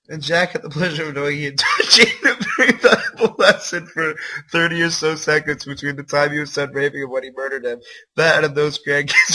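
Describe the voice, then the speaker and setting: A man with a bright, forceful voice and a slightly rough, husky edge reads aloud on a livestream. He treats the text theatrically and with open mockery, as an amateur dramatic reader and commentator would. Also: fairly high pitch, poor audio. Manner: incredulous asides, breaks into laughter. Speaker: livestream host reading a fan story aloud. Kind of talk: live dramatic reading with mocking commentary.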